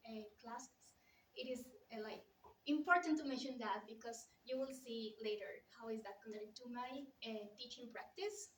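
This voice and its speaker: A woman's voice: sits high, a bit bright, slight background noise. Colombian woman, spanish accent, presenting to students, lots of ums and restarts, echoey classroom, mic far off. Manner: halting, with many fillers and restarts. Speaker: visiting scholar presenter.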